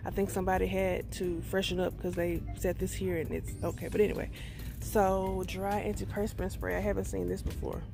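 A woman's voice: fairly low pitch, flat delivery, brisk, gravelly, over music. A middle-aged woman chatting casually to viewers as she films herself walking a store aisle on a phone. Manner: chatty, addresses viewers as y'all. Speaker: vlogger. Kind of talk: casual shop-with-me chat. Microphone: handheld phone.

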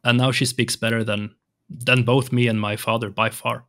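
A man's voice: mid-range voice, level tone, normal speed, a bit bright. A young man from Sweden, Swedish his first language, speaking English as a podcast guest in a home room on a studio mic, hesitant with many restarts. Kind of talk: casual interview chat. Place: home room with shelves and colored lights. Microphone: large studio mic on arm, headphones.

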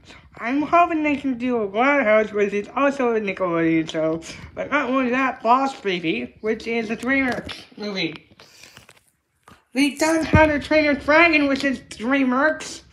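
A woman chatting casually and unscripted about toys, in a halting, repetitive way that trails off. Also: a little high, lively intonation, fairly bright tone. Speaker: toy reviewer. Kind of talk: casual unscripted toy review chat. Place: indoor room with hard tiled floor. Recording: echoey room, background noise, clicks.

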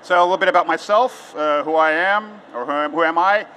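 Bright-voiced Portuguese man, American accent. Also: fairly high pitch, a little fast, a little hiss or hum. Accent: American English. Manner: conversational, many filler words and restarts. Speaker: conference speaker. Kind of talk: conference talk. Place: large outdoor conference stage.

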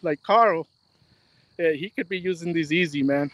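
A man's voice: on the high side, moderate pace, a bit bright, no echo. Laid-back man fishing at night. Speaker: guest angler. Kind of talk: casual chat on a video call. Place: riverbank at night, outdoors.

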